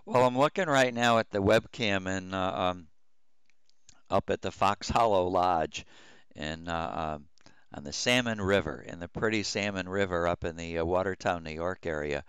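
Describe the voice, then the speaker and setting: A man's voice: sits low, normal speed, fairly bright tone, smooth, no room sound. A man chatting casually on a livestream as a radio hobbyist host, rambling in a folksy, hesitant way.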